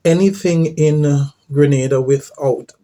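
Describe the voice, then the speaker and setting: A man, giving an earnest personal testimony as a former volunteer missionary, pausing often with fillers and restarts. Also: fairly high pitch, dark tone. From Guyana.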